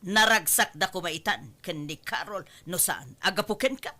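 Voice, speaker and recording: A woman's voice: fairly low pitch, animated, normal speed, pushed and bright. A woman playing an indignant, protective middle-aged mother in a radio drama, scolding and demanding answers. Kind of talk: Ilocano radio drama acting.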